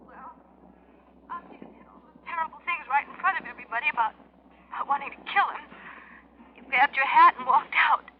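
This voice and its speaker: A woman's voice: high-pitched, brisk, pauses often, natural voice. An actress playing an indignant, haughty woman in a 1940s radio mystery. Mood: reproachful. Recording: muffled, lightly noisy 1940s radio recording.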